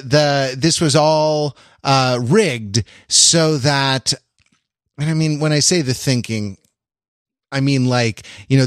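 A man co-hosting a podcast, chatting casually in animated, rambling explanations. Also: medium pitch, no echo, clean studio sound. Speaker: podcast co-host. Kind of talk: casual panel discussion.

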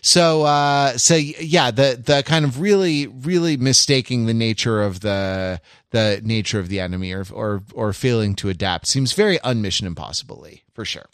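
A man of medium pitch muses casually about a film. He is wry and halting, often circling back to find the word. The sound is very dry, with no room echo. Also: a bit bright, clean tone. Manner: halting, searching for words, wry. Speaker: podcast panelist.

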